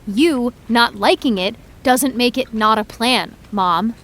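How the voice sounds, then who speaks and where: A woman's voice: a little high, a bit bright. An actress playing a young woman about to start college, evasive and sarcastic when her parents press her. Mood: defensive. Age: young adult. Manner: hesitant, deflecting, quick comebacks. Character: evasive teenage girl, awkward and sarcastic. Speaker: actor playing a college-bound daughter. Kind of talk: audio drama acting.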